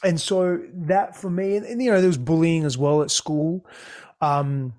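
This is a man whose was Greek. He talks openly and reflectively as an interview guest, often doubling words mid-thought. His voice sits a little high for a man. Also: moderate pace, a little mellow. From Australia. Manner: candid, repeats words when searching.

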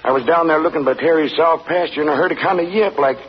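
An actor playing a hesitant rural neighbor who hems and haws in a drawl, on an old radio drama. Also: male voice, on the high side, fast talker, lightly acted. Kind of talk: 1940s radio acting. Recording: dull old radio broadcast, faint hiss.

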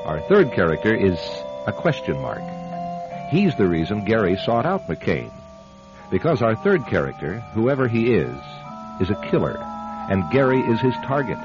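Over clear music on a dull radio recording, a male announcer introduces a mystery. His low voice is measured and suspenseful, with dramatic swings in pitch. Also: huge pitch swings. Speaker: announcer and host.

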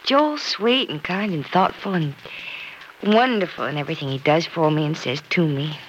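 A woman's voice: deep voice, normal speed, lightly acted. An actress playing an earnest, affectionate young single woman who is careful about love, in old-time radio soap style. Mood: earnest, uncertain. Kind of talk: 1940s-50s radio soap acting. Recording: dull, noisy old radio transcription.

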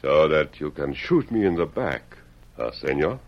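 An actor playing a brave, stoic hero posing as a Mexican revolutionary, speaking formally in an old-time radio western. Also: male voice, extremely low pitch, big pitch swings, moderate pace. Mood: defiant. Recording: muffled, hissy old radio recording.

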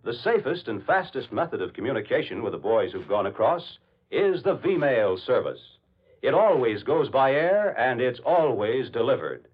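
A male 1940s radio announcer with a husky, mid-range voice. He delivers scripted lines formally and emphatically with wide, lively intonation. The old recording sounds dull. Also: mid-range voice, moderate pace.

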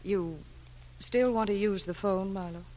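A woman's voice: medium pitch, measured pace, a little mellow, natural voice. An actress playing a forward, flirtatious wealthy married woman, coolly direct and teasing, in classic radio drama style.

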